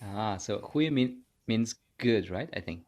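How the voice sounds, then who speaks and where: Man, from Tajikistan, podcast host, low pitch, curious questions, short interjections. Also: expressive, measured pace, darkish tone. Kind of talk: casual interview.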